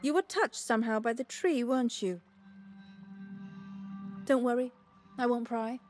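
An actress playing a perceptive, gentle alchemist in a fantasy video game, speaking in a measured, discreet way. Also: female voice, on the high side, natural voice. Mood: thoughtful, hopeful. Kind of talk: scripted video game quest dialogue. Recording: game music behind the voice.

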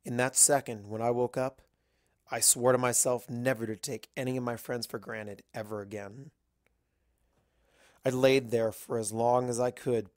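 Man, mid-pitched, scripted story narration, fan fiction reader, dry recording. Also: a little mellow, dry recording.

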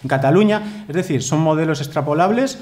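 A Spanish-accented man in his thirties lecturing at a conference, speaking into a desk microphone in a wood-panelled hall. His voice sits a little high for a man, and his delivery is earnest and emphatic, with frequent tag questions. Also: expressive, a little fast, a little mellow. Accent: Spanish from Spain. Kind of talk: formal conference talk with slides.

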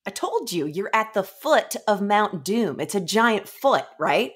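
A woman's voice: mid-range voice, sing-song, unhurried, slightly rough, faint music behind. A woman voicing a brusque, sardonic female dungeon master with dramatic announcements and dry retorts.

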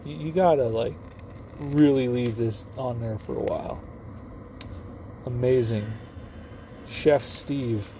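A man's voice: mid-range voice, takes it slowly, pauses often, warm tone, a little hiss or hum. A young man filming himself cooking on a camp stove inside a parked car, rambling and joking at himself as he goes, with the phone close by. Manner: rambling, self-mocking, offhand. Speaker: vlogger cooking on camera. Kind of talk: casual joking how-to vlog. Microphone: phone held or propped nearby. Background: sizzling camp stove.

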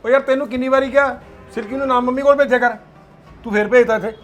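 Actor as a stern angry son, high voice, rapid harsh scolding. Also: male voice, fairly even intonation, soft background music. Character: angry grown son and father, cold and stern. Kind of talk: family drama acting.

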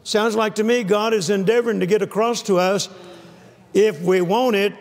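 An older man preaches on stage, emphatic and repetitive, drawing amens from the crowd. His voice sits high for a man and has a gravelly edge. A lapel mic catches him, with a long reverb trailing through the big hall. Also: fairly even intonation, normal speed. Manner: emphatic, repeating key phrases, calls for amens. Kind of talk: sermon. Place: large church hall with a stage and live audience.

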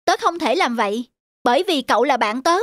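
An earnest young schoolboy played by a woman in a cartoon, very high in pitch and sincere in delivery. He sounds loyal and principled, over clearly audible music. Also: animated, fairly quick. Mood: hurt, sincere. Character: earnest, loyal schoolboy, hurt but forgiving. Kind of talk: cartoon dubbing. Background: prominent music.

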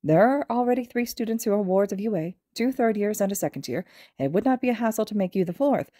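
A small, clever animal principal from a story, voiced by a woman narrator. The voice is raised in pitch and soft-toned. The manner is courteous, measured and formal, meant to reassure. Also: lively intonation, no echo, natural voice. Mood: reassuring. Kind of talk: audio fiction reading.

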